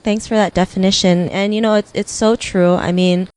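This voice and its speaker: A woman's voice: mid-range voice, steady tone, normal speed, a little mellow, clean tone, no echo. A woman from the Philippines hosting an interview, speaking reflectively and often using "you know" and "like". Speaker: interview host. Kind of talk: casual interview.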